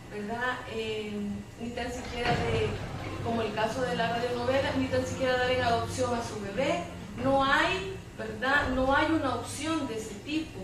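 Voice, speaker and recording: Salvadoran woman in a very echoey room. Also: a little high. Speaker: panelist at a public debate. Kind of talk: spontaneous spoken commentary at a public debate. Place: public debate room, picked up from afar. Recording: distant, very echoey room with clear noise.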